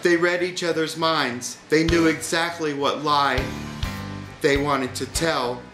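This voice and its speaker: Slow, wry male storyteller over music. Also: a little high, fairly even intonation. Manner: wry, deliberate. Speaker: audiobook reader. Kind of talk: scripted storybook narration. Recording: roomy sound with music behind.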